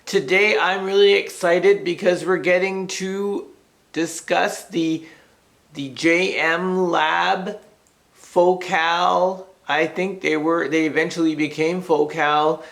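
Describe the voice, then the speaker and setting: A man hosting a casual, unscripted product review, speaking tentatively with pauses and approximations. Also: sits high, fairly even intonation, gravelly, fairly bright tone. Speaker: host of a hi-fi speaker review show.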